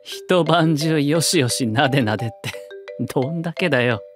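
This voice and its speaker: An actor playing a cool, blunt but secretly tender young warrior priest in a Japanese drama CD. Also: male voice, on the high side, normal speed, music in the background, close to an everyday voice. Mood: amused, fond. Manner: gruff wording, understated, soft-spoken tenderness.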